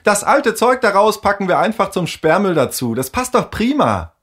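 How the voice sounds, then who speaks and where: A man's voice: high-pitched, big pitch swings, fairly bright tone, slightly rough, voice pushed up, exaggerated voice. A man voicing a cheerful, bossy young girl in a storybook reading, taking charge and handing out jobs. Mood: bossy, eager. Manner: eager, quick to take charge.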